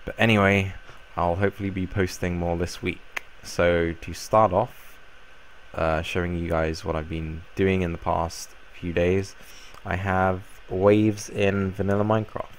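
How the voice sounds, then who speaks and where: A young man with a croaky voice from a cold, casually explaining a game build in a rambling way. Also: low voice, unhurried, a little hiss or hum. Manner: relaxed, rambling explanation with fillers. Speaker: Minecraft YouTuber. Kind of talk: casual gameplay tutorial.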